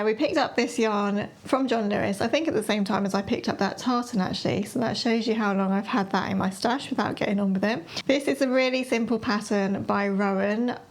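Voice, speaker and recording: A woman with a slightly croaky voice, chatting casually as a sewing and knitting vlogger and laughing between thoughts. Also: mid-range voice. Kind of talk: chatty catch-up.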